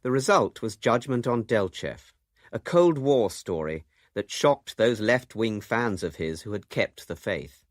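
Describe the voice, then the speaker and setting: A man's voice: fairly low pitch, expressive, measured pace, no echo, studio quality. A man giving a scripted narration for a radio documentary.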